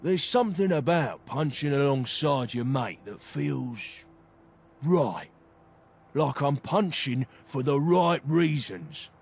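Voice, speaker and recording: An actor playing a rough, loyal brawler in a video game, blunt and plain-spoken. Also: male voice, mid-range voice, animated, slow pace, faint music behind, full character voice. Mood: sincere, gruff. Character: rough, loyal brawler mate who loves a fight. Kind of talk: video game character dialogue.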